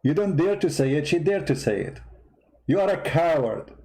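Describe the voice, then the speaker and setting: Confrontational man with a foreign accent, mellow tone. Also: a little high, sing-song, moderate pace, creaky. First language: not English. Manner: confrontational, mocking, repetitive rhetorical questions. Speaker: host commentator. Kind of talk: heated political commentary reacting to clips.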